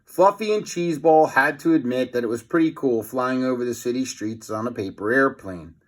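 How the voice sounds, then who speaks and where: A man's voice: mid-range voice, normal speed. A man reading a children's story aloud as a theatrical narrator, with teasing asides to young listeners. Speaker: storybook reader.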